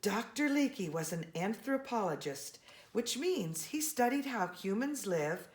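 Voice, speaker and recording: A woman reading a children's storybook aloud in an expressive, animated way, speaking to young listeners. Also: medium pitch, unhurried. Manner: expressive, with gasps and brief asides to listeners.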